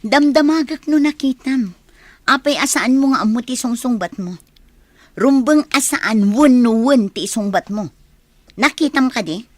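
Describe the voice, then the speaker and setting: A woman's voice: sits high, lively intonation, unhurried, no echo. A woman with a Filipino accent playing an upset, insistent young daughter in a radio drama. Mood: suspicious.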